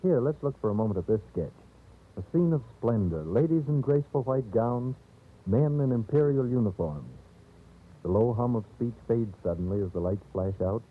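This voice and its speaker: A man's voice: mid-range voice, expressive, moderate pace, breathy edge. A man narrating a scripted 1930s radio show as its host, with a theatrical, picture-painting delivery, on an old radio recording. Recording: tinny narrow-band 1930s radio recording.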